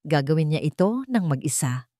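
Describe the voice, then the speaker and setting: A woman's voice: low voice, very animated, normal speed, dark tone, dry recording, clean studio sound. A woman reading a scripted dramatic story as its narrator.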